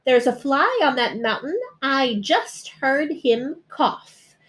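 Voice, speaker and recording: A woman's voice: sits high, animated, takes it slowly, fairly bright tone, voice pushed up, heavily acted. A woman voicing a boastful, snappy male rabbit in a read-aloud storybook, bragging emphatically.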